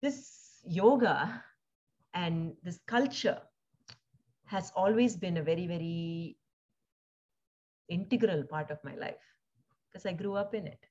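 A woman born in India and raised in Singapore, speaking thoughtfully as an interview guest, with frequent pauses, fillers and restarts. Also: lowish voice, a little mellow, lo-fi sound. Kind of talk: reflective conversational interview.

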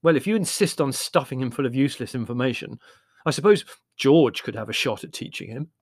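A man's voice: medium pitch, expressive, brisk, husky, no echo, studio quality. A man voicing a supercilious, opinionated young literary man in an audiobook, airy and emphatic. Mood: dismissive. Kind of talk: audiobook character voice.

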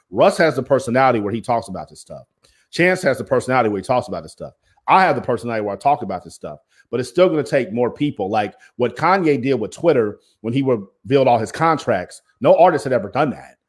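A man with a deep voice, a former basketball coach, speaking bluntly and emphatically as an interview guest. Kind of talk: interview conversation.

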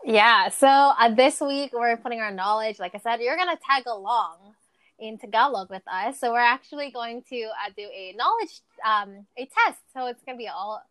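A woman's voice: fairly high pitch, expressive, moderate pace, fairly bright tone, no room sound. A woman co-hosting a casual chat and running a quiz game, talking in a rambling way with many fillers and restarts, over a remote call. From Canada. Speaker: co-host. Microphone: remote call mic.

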